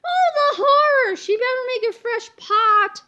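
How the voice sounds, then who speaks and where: Woman playing panicky bystander, comic-book story, very high, bright, melodramatic, alarmed cries. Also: measured pace, dull audio, heavily acted. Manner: crying out in alarm.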